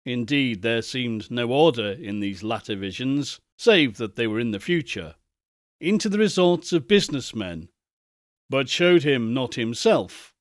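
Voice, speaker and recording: A man narrating a Victorian ghost story in a measured, theatrical reading. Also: medium pitch, sing-song, no echo, studio quality. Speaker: narrator, audiobook reader.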